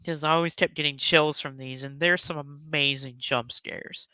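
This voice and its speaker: A man's voice: on the high side, steady tone, normal speed, sharp tone, clean tone, dry recording. A man hosting a casual, enthusiastic countdown commentary, speaking in an emphatic, effusive and rambling way. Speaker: YouTube host giving a top ten countdown.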